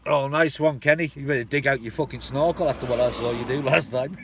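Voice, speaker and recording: A man's voice: medium pitch, lively intonation, brisk, fairly bright tone, a little hiss or hum. A man with a North Welsh accent tinged with Shropshire, chatting casually and rambling on a live stream outdoors, swearing and chuckling as he goes. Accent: North Welsh with a hint of Shropshire. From North Wales.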